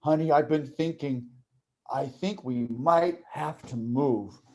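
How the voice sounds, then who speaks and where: A man voicing a sober, caring husband and father in a puppet show, speaking in a measured, hesitant way. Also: a little high, a little mellow. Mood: grave, thoughtful. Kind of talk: children's puppet show dialogue.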